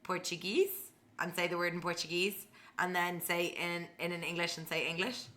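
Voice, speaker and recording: A woman's voice: lowish voice, little pitch movement, moderate pace, bright, forceful tone. A woman co-hosting a casual podcast, chatting informally and inquisitively. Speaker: podcast co-host. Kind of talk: casual chat.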